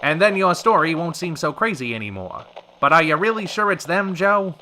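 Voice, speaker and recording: A man's voice: on the high side, sing-song, moderate pace, pushed and bright, a little hiss or hum. A man acting as a genial radio show host, with folksy, theatrical patter in an old-time radio style. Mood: intrigued.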